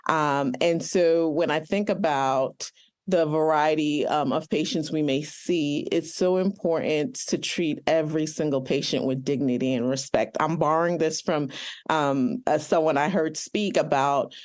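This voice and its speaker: A woman pharmacist answering interview questions earnestly, with many pauses and ums; English is not her first language. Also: low voice, darkish tone. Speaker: pharmacist guest.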